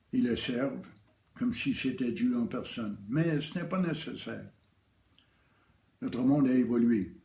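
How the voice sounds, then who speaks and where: A man giving a calm, measured spiritual teaching, repeating key phrases. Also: medium pitch. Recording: roomy echo.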